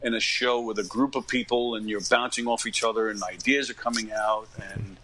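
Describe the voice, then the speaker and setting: A man of about fifty from Long Island, New York, telling stories casually as an interview guest, in a halting, informal way that often doubles back. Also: lowish voice, steady tone, bright, forceful tone, low-quality recording. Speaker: interview guest, stage actor. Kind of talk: casual conversational storytelling.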